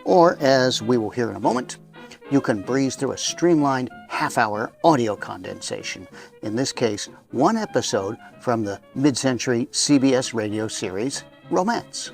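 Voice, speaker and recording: A man's voice: medium pitch, very animated, normal speed, vocal fry, soft background music. A man hosting with a chatty, semi-scripted introduction, wry and folksy, with small hesitations. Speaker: host.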